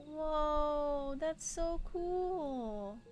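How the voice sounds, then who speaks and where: A woman streaming a video game live, thinking aloud in casual, chatty commentary that turns excitable. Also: high-pitched, very slow, music in the background.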